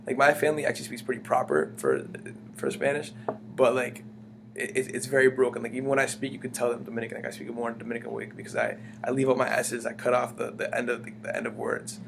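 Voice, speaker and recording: A young man speaks in quick bursts and trips over his own words, restarting his sentences. His voice has a gravelly edge. A steady hum runs under the recording. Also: medium pitch. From United States. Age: young adult. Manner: rambling, many fillers and restarts. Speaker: interviewee. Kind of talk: casual conversational interview. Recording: humming background noise.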